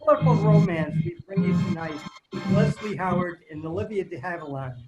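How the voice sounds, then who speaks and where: Give this to a man playing a 1930s-style radio announcer with a notably high voice. He reads formally but haltingly, stumbling now and then, with clear music behind him. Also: expressive, dull audio. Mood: announcing, promotional. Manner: halting, stumbling table-read delivery. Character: old-time radio announcer and producer hosting a broadcast. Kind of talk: 1930s radio show read aloud. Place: virtual table read from home.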